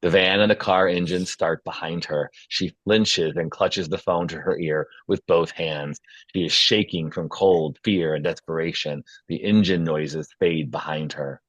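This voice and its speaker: Male narrator for a screenplay table read: low register, dry close sound, reads action and scene headings. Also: steady tone, a little slow, fairly bright tone, dry recording, rough recording. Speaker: stage directions reader.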